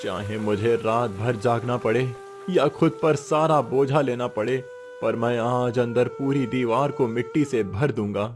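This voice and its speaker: A man's voice: medium pitch, measured pace, natural voice. A man playing a poor, proud village labourer father, tender and resolute, in an earnest moral-story style. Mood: gentle, determined. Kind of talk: Hindi moral story voice acting. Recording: music behind the voice.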